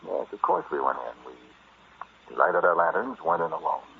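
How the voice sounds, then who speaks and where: Deep-voiced, brooding explorer, vintage radio. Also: male voice, creaky, breathy edge, exaggerated voice. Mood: brooding, dramatic. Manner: dramatic, brooding, halting delivery. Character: earnest male explorer recounting a dreadful jungle discovery. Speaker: narrator and lead character. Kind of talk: old-time radio horror drama acting. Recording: muffled, noisy vintage radio recording.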